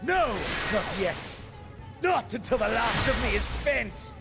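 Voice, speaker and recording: An actor voicing a fierce, battle-hungry video game boss, theatrical and defiant, declaiming in drawn-out taunts. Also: male voice, extremely high pitch, lively intonation, gravelly, heavily acted. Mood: desperate defiance. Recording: game audio under loud battle music. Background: loud music and game sound effects.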